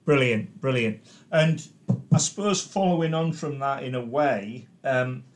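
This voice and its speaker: A man's voice: mid-range voice, measured pace. A man hosting a podcast interview, chatting casually and offering short, complimentary, encouraging reactions.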